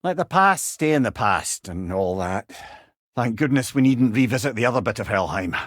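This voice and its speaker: Game role: witty old Scottish storyteller god, eloquent and wry, animated intonation, dry studio sound. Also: male voice, mid-range voice, normal speed, no room sound. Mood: wry, curious. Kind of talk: video game character dialogue, storytelling banter.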